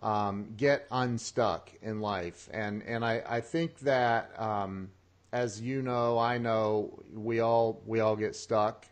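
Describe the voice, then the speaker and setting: A man hosting an interview show, talking in an excitable, casual way with lots of restarts and laughs. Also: on the low side, takes it slowly. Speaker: podcast host.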